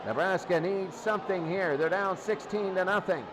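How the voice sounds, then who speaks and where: High-pitched male football announcer over loud noise. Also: normal speed. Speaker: play-by-play sports announcer. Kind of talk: American football radio commentary. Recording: loud steady noise behind the voice.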